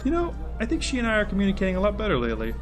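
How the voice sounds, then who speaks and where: A man's voice: sits high, wildly swooping pitch, very fast. A man playing a brusque, condescending agency boss in a scripted audio drama, dry and dismissive in manner. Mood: smug. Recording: music under the voice.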